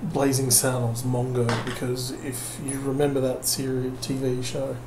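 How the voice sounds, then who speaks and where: A man's voice: medium pitch, completely flat delivery, moderate pace. A tired man, rambling wryly and self-mockingly in a late-night video while he builds a kit. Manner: self-mocking, wry and rambling, trailing off with fillers. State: tired, unable to sleep. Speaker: vlogger. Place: home at night. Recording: noisy home recording.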